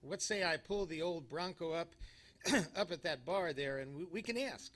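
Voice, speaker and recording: A man acts a hapless urban cowboy in a live radio comedy. His voice sits slightly high, and he gripes in folksy western talk. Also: moderate pace, fairly bright tone. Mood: sheepish, folksy. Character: Rusty, grumbling hapless male urban cowboy.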